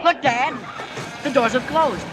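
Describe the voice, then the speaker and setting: An actor playing an excitable, urgent young boy in a 1940s radio drama. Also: high-pitched, unhurried, a loud crowd, very noisy. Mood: panicked. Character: excitable young boy, frightened then eager. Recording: old 1940s radio, loud music behind.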